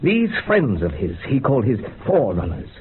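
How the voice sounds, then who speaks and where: A genteel man of science in a radio drama, relating eerie visions with literary care and a matter-of-fact calm. His pitch is middling. The sound is dull and narrow, with faint hiss. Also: normal speed. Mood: calm, entranced. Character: genteel male experimenter calmly describing grisly visions. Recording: muffled narrow-band radio recording, background hiss.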